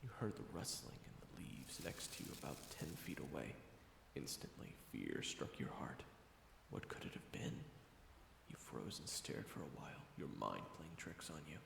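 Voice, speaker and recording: A man's voice: extremely low pitch, big pitch swings, normal speed, gravelly, noisy background. A man reading scripted second-person story narration for an audio roleplay. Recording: roomy hall reverb, music underneath. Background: background music.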